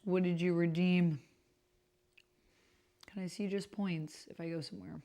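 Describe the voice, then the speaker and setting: Female streamer, lowish voice, casual and unscripted, thinking out loud while playing a text-based roleplay game. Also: fairly even intonation, a little slow. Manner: thinks aloud while reading and typing. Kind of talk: casual livestream chat while reading out typed roleplay. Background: computer keyboard typing.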